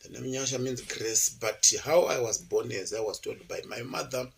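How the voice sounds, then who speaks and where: A man's voice: mid-range voice, normal speed, creaky. A man from Zimbabwe, a university lecturer being interviewed, telling his life story in a chatty, digressive way with many fillers and restarts.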